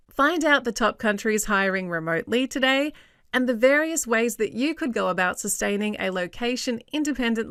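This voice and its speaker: A woman's voice: medium pitch, a bit bright, clean tone, no echo, clean studio sound. A woman hosting a podcast interview, friendly and chatty as she introduces her guest and asks questions. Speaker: podcast host.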